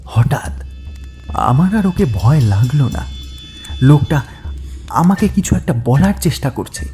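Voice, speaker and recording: A man narrating a horror story in the first person, suspenseful and dramatic, with halting pauses. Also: on the high side, very animated, warm tone, soft background music.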